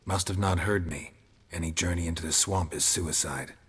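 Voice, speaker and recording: A very deep, gravelly monster hunter. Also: male voice, normal speed, heavily acted. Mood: stern warning. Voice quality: gravelly, husky. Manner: deadpan, terse. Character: stoic, gravelly monster hunter, terse and unimpressed. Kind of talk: restrained video game acting.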